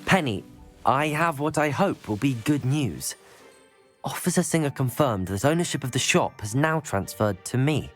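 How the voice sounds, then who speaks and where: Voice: medium pitch, animated, moderate pace, soft background music, natural voice. An actor playing an earnest, self-assured young student hero in a fantasy video game. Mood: kind. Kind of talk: video game character acting.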